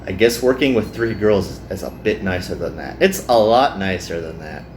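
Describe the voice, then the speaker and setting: A man's voice: deep voice, expressive, moderate pace, a little mellow. A man giving playful commentary as he plays a game. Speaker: gaming video host. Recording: echoey room with faint noise.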